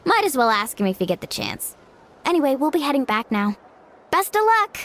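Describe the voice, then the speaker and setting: A woman's voice: high voice, very animated, brisk, a bit bright, a little hiss or hum, full character voice. A woman voicing a casual, playful and helpful young woman in a video game. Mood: thoughtful, playful. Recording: background music under the voice.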